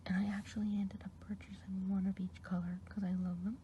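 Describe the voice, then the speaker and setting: A woman's voice: mid-range voice, level tone, fast, a little hiss or hum, natural voice. A woman whispering as a kindly chatty forest witch. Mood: fond. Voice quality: soft whispering. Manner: gossipy rambling, gentle. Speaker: ASMR artist. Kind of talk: ASMR roleplay.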